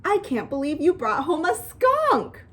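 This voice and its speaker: A woman voicing a bossy, sharp-tongued girl, an older sister who exclaims indignantly in a children's storybook. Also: mid-range voice, big pitch swings, measured pace, breathy edge, heavily acted. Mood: amazed.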